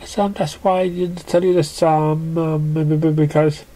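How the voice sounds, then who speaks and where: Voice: mid-range voice, level tone, moderate pace, dark tone, pitched above the performer's own voice, lightly acted. A narrator voicing a shy, timid female pony with a hesitant stammer. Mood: timid, apologetic.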